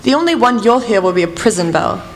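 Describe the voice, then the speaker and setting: A woman's voice: fairly high pitch, lively intonation, fast talker. A woman playing a commanding, shrewd female detective in a radio play, speaking in a stern, formal way. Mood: stern accusing. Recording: long reverb, music underneath.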